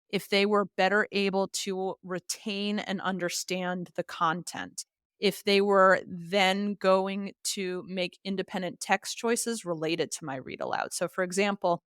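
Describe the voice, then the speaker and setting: Lower-voiced woman, dry close sound. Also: fairly bright tone, dry recording. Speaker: literacy expert and author, podcast guest. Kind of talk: explanatory interview talk.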